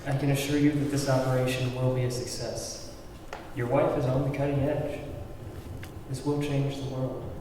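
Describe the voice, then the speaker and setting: A man playing a calm, confident doctor with a formal, assured manner at a script table read. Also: mid-range voice, normal speed, natural voice. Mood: reassuring. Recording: echoey hall, distant mic, clear background noise.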